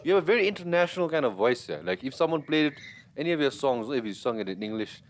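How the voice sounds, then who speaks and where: A young man from Bhutan co-hosting a podcast, chatting casually with quick, animated questions full of restarts. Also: on the high side, a little hiss or hum. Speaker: podcast co-host.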